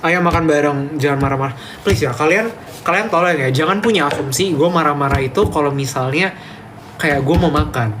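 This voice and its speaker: A man's voice: fairly high pitch, brisk, background noise. A young man with a Medan accent chatting casually on a live stream, reading viewers' comments aloud and ranting back at them emphatically. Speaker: livestreamer reading chat.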